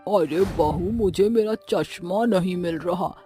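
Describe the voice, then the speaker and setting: A man's voice: high-pitched, unhurried, mellow, soft background music. A man voicing a forgetful, demanding elderly mother-in-law in a cartoon.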